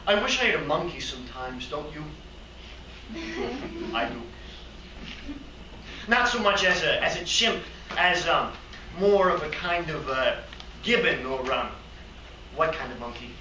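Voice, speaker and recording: Man acting a bright, excitable rambling hotel clerk. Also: fairly high pitch. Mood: wistful, musing. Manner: halting, rambling, hesitant then excitable. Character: odd rambling daydreaming young male hotel receptionist, naively earnest. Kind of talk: theatrical comic monologue performance. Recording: roomy, distant mic, faint noise.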